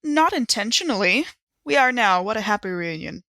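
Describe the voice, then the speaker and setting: A woman role-plays a wry, playful female traveller in an audio drama. Her voice is bright and crisp with plenty of creak, recorded very dry. She carries herself with theatrical self-possession. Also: medium pitch, sing-song, normal speed, no room sound. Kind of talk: improvised role-play.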